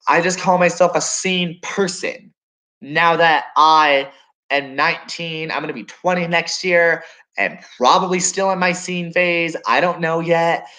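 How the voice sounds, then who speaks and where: A man's voice: on the high side, pushed and bright, poor audio. A nineteen-year-old man rambling through a casual reaction vlog at home, musing and questioning himself as he talks. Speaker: vlogger.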